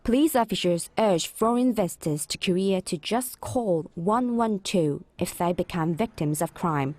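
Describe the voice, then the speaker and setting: A woman reporter reading a scripted news report in a measured, even delivery. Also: on the low side.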